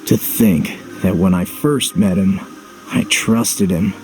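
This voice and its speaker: An actor playing a brooding, world-weary young hero in a video game, terse, dry and understated. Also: male voice, low-pitched, slow, background noise. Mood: reflective. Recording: background music. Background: game music.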